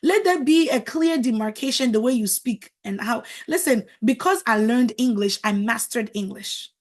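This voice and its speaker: A woman's voice: on the high side, expressive, normal speed. A middle-aged woman whose first language is French, giving a motivational talk as a marriage coach, emphatic and preachy, repeating words for stress.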